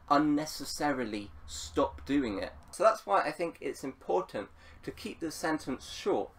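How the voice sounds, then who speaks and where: A man's voice: a little high, recorded from a distance. A man giving casual, unscripted writing advice as a video host, rambling playfully and repeating phrases for emphasis. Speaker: YouTube writing coach and host.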